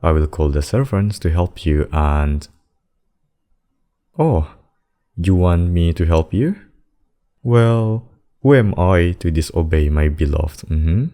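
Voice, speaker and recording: Man acting as very deep, devoted demon king. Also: expressive, dark tone. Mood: playful, affectionate. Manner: slow, formal, doting speech with endearments. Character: devoted, protective demon king, tender male lover. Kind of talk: intimate ASMR roleplay acting.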